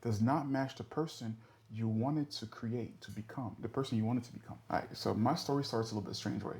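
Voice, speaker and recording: A man in his thirties hosting his own podcast, talking in an earnest, measured way about his life, with the odd filler. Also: medium pitch, a little mellow. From born in the US, raised in Europe. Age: 30s. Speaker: podcast host. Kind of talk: reflective solo podcast monologue.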